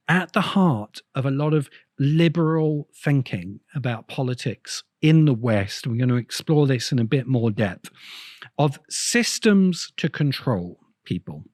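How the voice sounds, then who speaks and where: A man with a mid-pitched voice delivers informal analytical commentary in the manner of an essay host. He thinks aloud, often pausing and doubling back on words as he builds an explanation. The sound is close and dry, with very little room in it. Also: darkish tone, no echo. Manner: halting, thinking aloud with repeated words and pauses. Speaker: host of a science fiction video essay channel.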